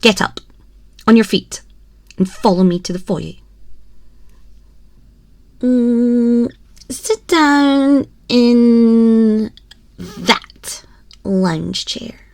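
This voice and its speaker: Slow, haughty, teasing empress, acted by a woman. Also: a little high, animated, a little mellow, no echo, exaggerated voice. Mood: imperious, indulgent. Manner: imperious, slow, theatrical, teasing drawl with breathy whispers. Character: haughty, teasing, condescending small mouse-girl empress. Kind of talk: ASMR roleplay, scripted character monologue. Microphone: close binaural microphone.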